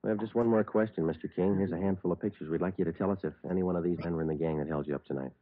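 A man playing a deadpan American police sergeant narrating a radio crime drama. His low voice moves briskly and clipped, matter-of-fact to the end. It sounds like a muffled old broadcast with music. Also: no room sound, lightly acted. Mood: businesslike. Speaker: detective narrator.

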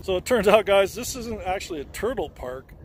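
A man's voice: high-pitched, animated, fairly quick, slight background noise. A man in his forties hosting a casual travel vlog, talking into a handheld camera outdoors in an open park. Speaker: vlog host. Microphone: handheld camera at arm's length.

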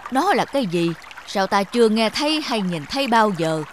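Stern ancient mountain, voiced by a woman, cartoon fable, proud, scolding, medium pitch, light music. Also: sing-song, normal speed, close to an everyday voice. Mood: haughty. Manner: scolding, pompous.

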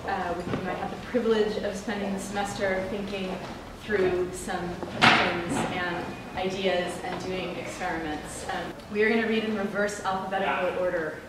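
A woman's voice: medium pitch, level tone, normal speed, few pauses. Woman introducing readers in an echoey room. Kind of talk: event introduction. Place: bookstore event space, indoors. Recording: echoey room from far off, clear background hum.

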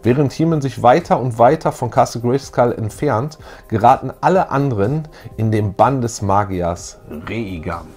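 A man's voice: mid-range voice, animated, moderate pace, a little mellow. A man around forty co-hosting a fan show, chatting and reading aloud in a jokey, colloquial way. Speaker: co-host of a retro fan show. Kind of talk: casual chat and reading aloud. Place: small indoor room full of collectibles.

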